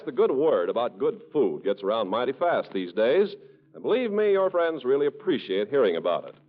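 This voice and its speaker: A man working as a radio announcer, reading a scripted 1940s sponsor message in a polished, salesmanlike way. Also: mid-range voice. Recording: tinny 1940s radio, music behind.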